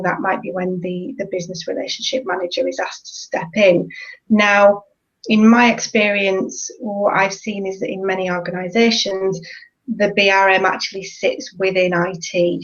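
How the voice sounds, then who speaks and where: A woman hosting a live web show, introducing the guest and explaining topics in a clear, organised way. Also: medium pitch. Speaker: host, lead tutor. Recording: dull lo-fi sound.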